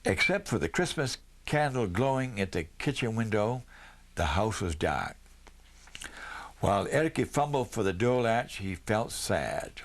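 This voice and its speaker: A man's voice: on the low side, very animated, unhurried. A man reading a children's Christmas story aloud as scripted narration. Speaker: storybook reader.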